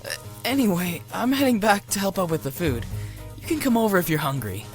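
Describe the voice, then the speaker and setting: A woman's voice: mid-range voice, sing-song, a little fast, close to an everyday voice. A woman voicing a childlike, easily frightened young demon boy in a visual novel game, pleading and eager to please, with music behind. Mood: casual, cheerful.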